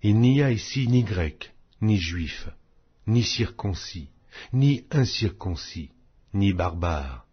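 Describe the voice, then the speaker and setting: Male narrator, slow delivery, solemn scripture reading, muffled lo-fi recording. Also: lowish voice, animated, husky. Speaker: audiobook narrator. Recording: dull, muffled lo-fi audio.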